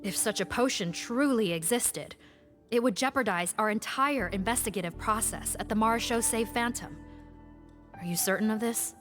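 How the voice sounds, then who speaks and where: An actress playing a dutiful, formal investigator who speaks firmly and cautiously, from a video game. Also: female voice, a little high, moderate pace, fairly bright tone, natural voice. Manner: formal, firm, sighing. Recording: game audio with faint music.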